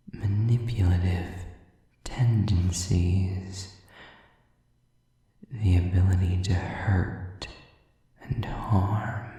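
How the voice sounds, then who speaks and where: Sadistic demon male actor, very dark low voice, breathy taunting whisper, contemptuous of humans, for audio roleplay, drenched in echo. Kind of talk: whispered dramatic monologue to the listener. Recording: distant, very echoey, muffled sound.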